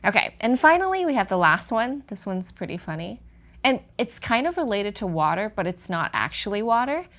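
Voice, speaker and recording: A woman co-hosting a Chinese lesson, explaining words and saying slow, careful example sentences. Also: medium pitch. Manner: lively, switches into slow careful Mandarin examples. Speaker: Chinese teacher co-host. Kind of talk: language lesson chat.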